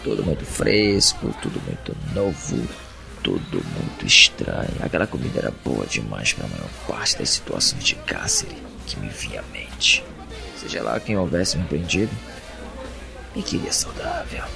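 A man with a Brazilian accent playing an introspective, uneasy captive who narrates an audio drama in a brooding, deliberate way. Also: very deep voice, wildly swooping pitch, vocal fry, over music, full character voice. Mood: wary. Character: captive man, introspective, uneasy, reasoning through his confinement.

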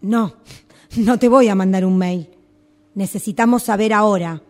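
A woman's voice: medium pitch, moderate pace, darkish tone, lightly acted. A woman with a Rioplatense accent playing an indignant employee who confronts her boss with insistent questions, in amateur radio theatre. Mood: worried, insistent. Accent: Rioplatense Argentine Spanish.

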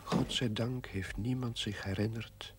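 Casting: man voicing a wry narrator, very deep and slow, old TV audio with faint rumble. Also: fairly even intonation, close to an everyday voice. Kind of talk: voice-over narration.

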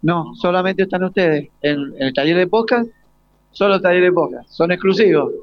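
A man's voice: high voice, moderate pace, no echo. An Argentine man hosting an informal live radio interview, asking hesitant questions with repeated starts. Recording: slightly dull, lo-fi live broadcast.

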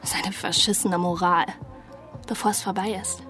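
An actress playing a reckless, foul-mouthed seventeen-year-old daughter in a radio drama, with crude, taunting, slangy delivery. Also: female voice, mid-range voice, fairly even intonation, moderate pace, sharp tone, faint music behind, natural voice. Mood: defiant.

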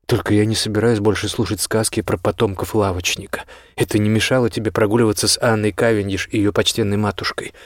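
A man's voice: fairly low pitch, little pitch movement, fast, hardly any pauses, dry recording, clean studio sound, natural voice. A man voicing a firm, frank marquis in an audiobook, speaking sternly and insistently as a concerned friend.

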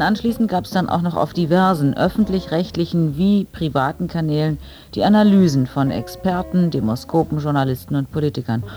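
A woman's voice: low-pitched, mellow. A woman hosting a radio phone-in, giving a scripted introduction and putting questions directly to listeners. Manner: rhetorical, addresses listeners directly.

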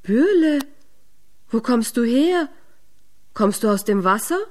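A woman's voice: on the high side, lively intonation, takes it slowly. A woman voicing gullible, eager villagers in a storybook reading, with emphatic exclaiming. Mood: astonished. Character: gullible, eager villagers and shepherd from a fairy tale.

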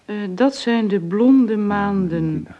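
A woman's voice: medium pitch, expressive, slow, phone-quality sound. An actress playing a devoted, dutiful woman, tender but firm, in a natural conversational radio drama style. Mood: soft reciting.

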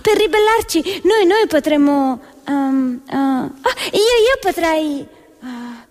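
A woman's voice: sits high, animated, slow, darkish tone, pitched above the performer's own voice. A woman voicing an agitated, pleading rebel abbess in satirical puppet theatre, wailing melodramatically. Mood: anguished, insistent.

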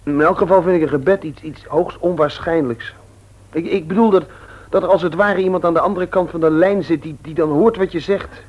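A man's voice: fairly high pitch, a little fast, muffled recording, natural voice. A man playing an insolent, rebellious young son in a radio play, flippant and cool, sometimes hesitant. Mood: hesitant, sceptical. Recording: old radio play recording.

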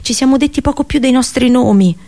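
An actress playing an anguished, passionate captive princess and seer in an intimate, poetic radio monologue. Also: female voice, high voice, fast talker, dark tone. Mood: tender, longing. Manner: intimate, poetic, intense delivery.

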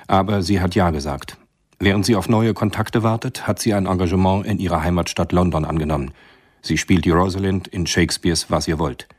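The narrator of a radio thriller. His voice is low and husky. He reads the scripted story soberly.

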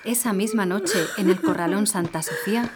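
An actress as a composed scene announcer, giving scripted narration in an audio drama. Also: female voice, medium pitch, normal speed, noisy background, close to an everyday voice. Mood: neutral.

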